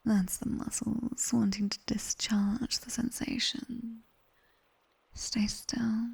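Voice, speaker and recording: Casting: actress as British nightmare demoness tutor for ASMR roleplay; breathy whisper, very slow, teasing but commanding, close to the mic. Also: female voice, a little high, level tone, a little mellow, heavily acted. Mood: hushed, instructing. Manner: measured, strict, teasing. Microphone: close binaural microphone.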